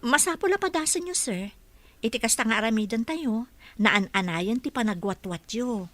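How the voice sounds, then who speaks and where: A woman's voice: on the high side, lively intonation, normal speed, a bit bright, no echo. A woman with an Ilocano accent acting in a radio drama as an encouraging, devoted therapist. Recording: dry studio with background music.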